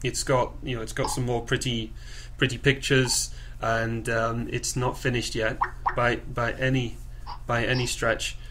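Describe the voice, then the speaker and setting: A man informally presenting to a group on a conference call, haltingly and with repeated words and phrases. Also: mid-range voice, flat delivery. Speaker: project coordinator leading a group call. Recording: clearly noisy conference call recording.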